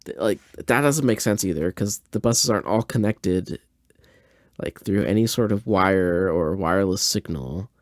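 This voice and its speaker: A man's voice: deep voice, moderate pace, no echo, clean studio sound. A man hosting a podcast with casual, bemused reaction commentary in informal, slangy phrasing. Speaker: podcast host.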